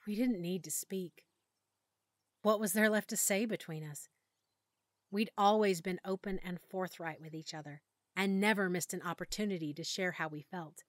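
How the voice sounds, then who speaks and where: Hushed, low-voiced woman narrator. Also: moderate pace, no room sound, studio quality. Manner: intimate, hushed delivery, often near a whisper. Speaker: audiobook narrator. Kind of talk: first-person scripted narration.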